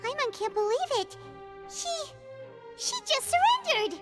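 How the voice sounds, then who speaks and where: A woman voicing a tiny childlike fairy companion in a video game, squeaky, blunt and excitable. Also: measured pace, over loud music, exaggerated voice. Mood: indignant disbelief. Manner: speaks of herself in third person, exclaiming. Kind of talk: scripted video game acting.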